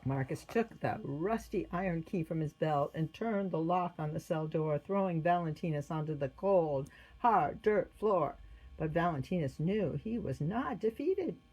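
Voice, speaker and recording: A woman's voice: low voice, animated, measured pace, a little mellow, slightly rough, rough recording. A woman reading a children's religious story aloud as its narrator.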